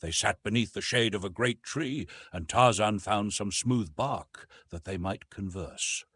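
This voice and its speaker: A man's voice: on the low side, a little slow, a bit bright, slightly rough, no room sound, clean studio sound. A man reading an adventure novel aloud as a scripted audiobook narration.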